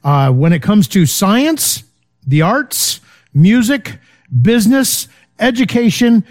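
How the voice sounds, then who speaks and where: A man's voice: sits high, a little mellow. A man teaching a Bible study in a loose, conversational way, full of fillers and checking in with his listeners. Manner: informal, many fillers, checks in with "okay?".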